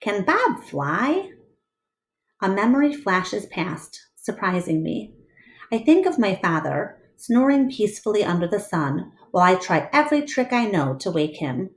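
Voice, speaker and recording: A woman teacher reading a storybook aloud to her class with expressive narration. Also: mid-range voice, normal speed.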